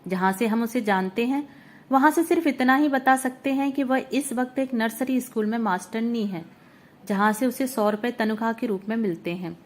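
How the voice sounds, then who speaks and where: A woman reading a literary short story aloud as narrator, in a measured, even, reflective manner. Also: fairly high pitch.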